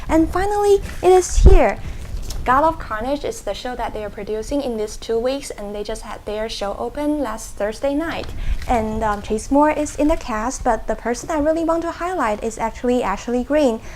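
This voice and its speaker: A young woman reports for a student newscast in a fairly high voice. She reads scripted stories and speaks to viewers in stand-ups. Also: lively intonation, normal speed, darkish tone, a little hiss or hum. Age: young adult.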